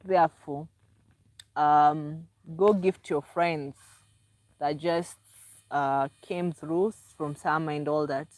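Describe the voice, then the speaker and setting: A woman's voice: deep voice, steady tone, slow pace, darkish tone, no echo. A Kenyan woman hosting a casual livestream, chatty and repetitive as she greets viewers by name and promotes her books. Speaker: livestream host and author.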